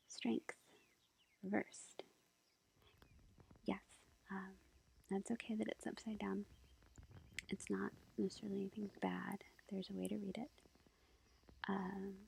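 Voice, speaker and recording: A woman playing a sweet, gentle young witch girlfriend in an ASMR roleplay, whispering breathily and reassuringly as she reads tarot. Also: mid-range voice, vocal fry. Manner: gentle, hesitant, reassuring, unhurried. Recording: lo-fi, faint background noise. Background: faint ambient nature sounds.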